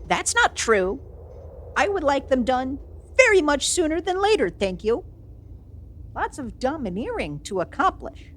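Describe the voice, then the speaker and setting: A woman's voice: sits high, very animated, unhurried, a bit bright, full character voice. A woman playing a proud, youthful immortal villain sorceress in a fantasy audio roleplay, theatrical, haughty and easily put on the defensive. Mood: defensive, indignant.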